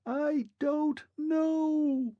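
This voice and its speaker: A man doing a shrill, thin clockwork man. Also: takes it slowly, creaky, a little mellow, no room sound, highs cut off, exaggerated voice. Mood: eerie pleading. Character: fading mechanical clockwork man, shrill and faint.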